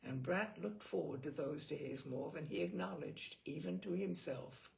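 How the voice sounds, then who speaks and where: A woman reading literary fiction aloud as an audiobook narrator, in a measured manner with the odd stumble. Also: deep voice, creaky. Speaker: audiobook reader.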